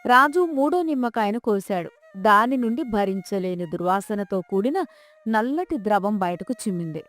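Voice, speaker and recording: A woman's voice: medium pitch, expressive, normal speed, a bit bright, soft background music. A woman narrating a scripted folk tale as its storyteller.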